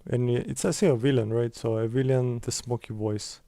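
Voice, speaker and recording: A man's voice: mid-range voice, steady tone, normal speed, creaky, mellow, no room sound. A man presenting a casual step-by-step screen tutorial in a conversational way.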